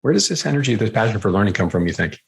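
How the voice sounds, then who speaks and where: A man's voice: fairly low pitch, very animated, rapid-fire, vocal fry. Enthusiastic male podcast host. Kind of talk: podcast interview.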